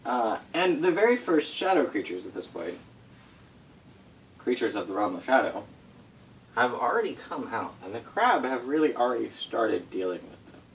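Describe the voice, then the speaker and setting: A man recounts fantasy game lore as a game master, his pitch moving around in lively swings. He talks casually and rambles, correcting himself as he goes. He sounds a little way from the mic, with faint noise behind him. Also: moderate pace, far from the mic, rough recording. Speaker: game master recounting setting history to players. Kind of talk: informal storytelling of tabletop game lore.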